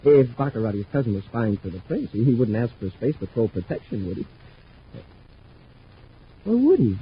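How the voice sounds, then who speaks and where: A man's voice: medium pitch, very animated, moderate pace, close to an everyday voice. A man playing an eager, deferential young space cadet in a 1950s radio drama. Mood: eager, chatty. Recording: muffled old radio recording, faint noise.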